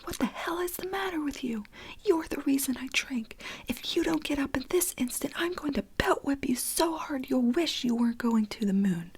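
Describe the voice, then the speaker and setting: A woman's voice: high voice, expressive, normal speed, voice pushed up. A woman whispering as a furious man shrieking insults in a scene read from a screenplay.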